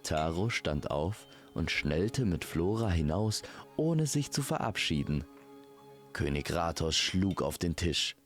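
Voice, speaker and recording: A man's voice: low voice, expressive, slow pace, soft background music. A man narrating a scripted fairy-tale audio drama as its storyteller.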